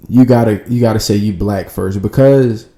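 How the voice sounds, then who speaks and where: A man co-hosting a podcast in relaxed, slangy casual chat, chuckling as he reads out listener comments. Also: fairly low pitch, normal speed, roomy sound. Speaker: podcast co-host.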